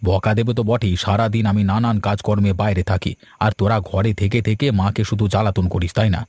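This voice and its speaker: A man voicing an indulgent village father in a cartoon, gently chiding and coaxing. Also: lowish voice, flat delivery, fast, dry recording, clean studio sound, lightly acted. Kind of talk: cartoon voice acting.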